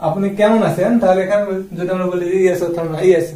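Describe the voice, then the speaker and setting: A man's voice: high-pitched, steady tone, brisk, dark tone. A man giving a classroom-style explanation as a teacher, posing questions and answering them himself. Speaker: English grammar teacher. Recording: roomy echo, distant microphone.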